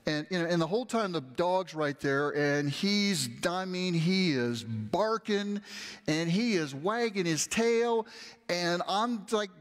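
A middle-aged man, a pastor, telling a story in a lively, conversational sermon while moving around a large church stage. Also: a little high, long reverb tail. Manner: animated, conversational, gestures while walking the stage.

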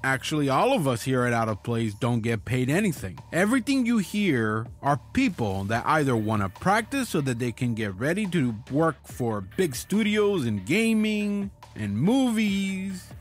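A man's voice: a little high, sing-song, measured pace, hardly any pauses. A man hosting a podcast with an enthusiastic, informal and chatty delivery. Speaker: podcast host and producer. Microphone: recorded at home, voice-over. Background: music.

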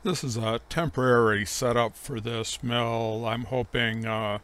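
A middle-aged man narrating how he runs his sawmill, speaking slowly and plainly with frequent pauses. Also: mid-range voice, fairly even intonation, fairly bright tone, slight background noise. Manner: slow, measured, plain-spoken with frequent "uh" pauses. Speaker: homestead sawmill operator. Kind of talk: voice-over explaining sawmill operation.

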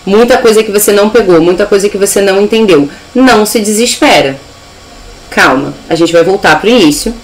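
Young Brazilian woman, mid-pitched, informal online English teacher who enunciates her example phrases. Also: brisk, low-quality recording. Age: young adult. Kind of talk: informal video lesson.

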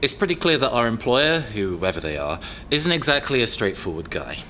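An actor playing a thoughtful, slightly uneasy young tech expert in an audio drama, explaining things in a hesitant, natural way. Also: male voice, medium pitch, big pitch swings, moderate pace, pushed and bright, a little hiss or hum. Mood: explanatory.

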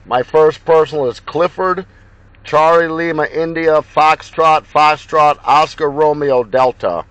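A man from Ohio, a mobile radio operator in his car, talking in crisp radio procedure and spelling out call signs. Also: a little high, fairly even intonation, a little slow, fairly bright tone, rough recording. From Ohio, USA. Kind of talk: radio contact exchange. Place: inside a car. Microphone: handheld radio mic.

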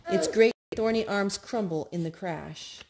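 A woman reading children's picture books aloud in an expressive, measured storytime style. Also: medium pitch, creaky, noisy background. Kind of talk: read-aloud storytime narration. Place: indoors at home.

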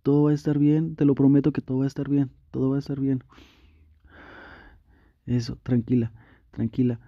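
A man's voice: mid-range voice, flat delivery, fairly quick, no room sound, highs cut off, close to an everyday voice. A man playing a doting, anxious young boyfriend in an intimate ASMR roleplay, tender and reassuring, repeating his words insistently. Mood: panicked, soothing.

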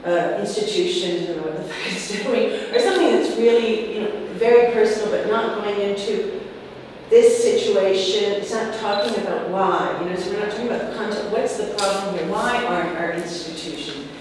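A woman in her forties on an art panel, talking in a rambling, animated way with many fillers. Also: mid-range voice, moderate pace, few pauses. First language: not Czech. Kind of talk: panel discussion. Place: room with curtains, seated on sofa. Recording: distant mic, echoey hall, noisy.

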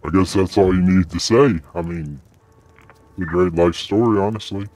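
Low-voiced man bantering in a game. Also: normal speed, darkish tone. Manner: crude, playful, slangy. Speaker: gaming video creator. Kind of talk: casual joking banter during online game. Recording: faint noise, game sounds.

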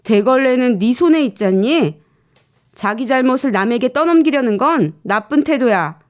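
A stern female schoolteacher, acted by a woman storyteller, scolds reproachfully. The voice is fairly high and lively in pitch, in a storybook reading style. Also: moderate pace. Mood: scolding.

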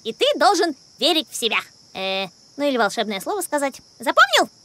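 Lively, high-voiced confident little girl. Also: fairly quick, very noisy. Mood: bossy, confident. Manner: dramatic, bossy. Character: spirited, confident little girl backpack bard. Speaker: cartoon character. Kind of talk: animated cartoon acting. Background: music.

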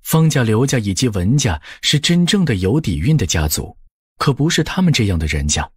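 Husky, animated male audiobook narrator. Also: medium pitch, moderate pace, no echo, clean studio sound. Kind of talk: expressive scripted storytelling.

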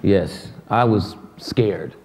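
A middle-aged man from Detroit tells stories on stage, his speech loose, joking and salted with profanity. His tone is mellow and dark. The sound rings with the long reverb of a hall. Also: fairly low pitch, extremely slow. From Detroit, USA. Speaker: guest musician. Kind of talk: lecture interview on stage. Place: hall with an audience.

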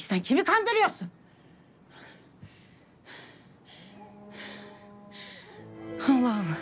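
An actress playing a brash, scheming older sister in a village house in a TV drama, speaking. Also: female voice, a little high. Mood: scornful. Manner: bossy, scolding, chatty. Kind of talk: TV drama acting. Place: indoor village house room. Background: music.

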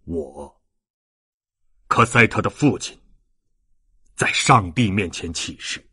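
A man voicing a sorrowful old ex-convict in an audiobook, solemn and halting, with a husky voice. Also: sits low, animated, pushed and bright, dry recording, studio quality, pitched below the performer's own voice, heavily acted. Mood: solemn, firm. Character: sorrowful old male ex-convict, grave, confessing.

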